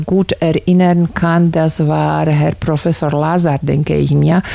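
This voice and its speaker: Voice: mid-range voice, creaky, dark tone, no echo. A university German lecturer from Slovakia, answering interview questions thoughtfully with frequent hesitations.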